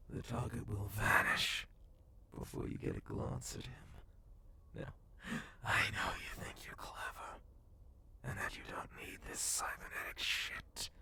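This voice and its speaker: A man playing a menacing, sadistic cyborg gang boss and bounty hunter in a dark ASMR roleplay, in a breathy, gravelly whisper with slow, taunting delivery. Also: low voice, full character voice. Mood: taunting, commanding. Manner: slow, deliberate, taunting, swearing.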